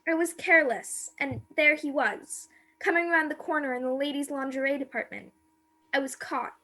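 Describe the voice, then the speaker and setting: A woman's voice: sits high, moderate pace, bright, forceful tone. An actress in a radio play playing a naive, romantic young woman who confides her longing earnestly. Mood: frightened confession.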